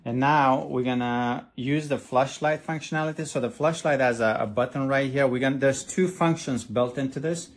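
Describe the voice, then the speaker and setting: A man's voice: medium pitch, normal speed, few pauses. A man reviewing a product in a casual, hands-on way, explaining step by step with some hesitation. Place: indoors at a desk.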